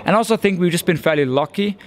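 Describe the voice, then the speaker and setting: Danish-accented young man, slightly high voice. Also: fast talker. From Denmark. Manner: earnest, thoughtful, with frequent restarts and fillers. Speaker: esports caster. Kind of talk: casual interview answers.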